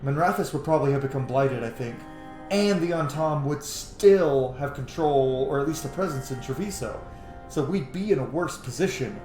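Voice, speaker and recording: A man hosts a roleplay game playthrough with informal, reflective commentary. His medium-pitched voice sounds echoey as he thinks aloud, with music behind. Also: normal speed, a little mellow. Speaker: gamer, host of a roleplay playthrough.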